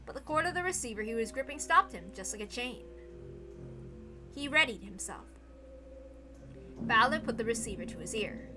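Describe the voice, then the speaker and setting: A woman's voice: a little high, expressive, moderate pace, long pauses, music in the background. A woman reading a visual novel aloud as a dramatic narrator. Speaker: narrator, story reader.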